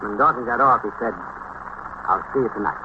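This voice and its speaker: A man acts out a determined detective captain in an old radio crime drama. He delivers clipped, factual reports at a quick pace. The recording is muffled and noisy. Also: mid-range voice, lightly acted. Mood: matter-of-fact. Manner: clipped, matter-of-fact case reporting. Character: determined male safe squad detective captain. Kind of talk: 1950s radio drama acting.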